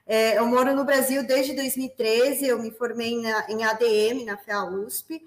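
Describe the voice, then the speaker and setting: A woman's voice: a little high, fairly even intonation, unhurried, reverberant. A woman from Peru with a Spanish accent, hosting a corporate webinar in a friendly way and using many fillers. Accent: Peruvian Spanish. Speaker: webinar host, business development manager.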